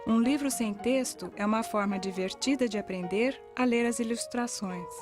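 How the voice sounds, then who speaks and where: A woman with a Brazilian accent narrates an educational video from a script. Her voice sits a little high, with music playing softly underneath. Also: normal speed.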